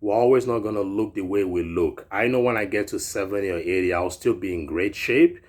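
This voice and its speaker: A man's voice: lowish voice, a little fast. A man in his thirties from Europe, a fitness vlogger giving a chatty motivational talk that often ends with "right?". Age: 30s. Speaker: fitness YouTuber. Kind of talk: casual motivational vlog talk.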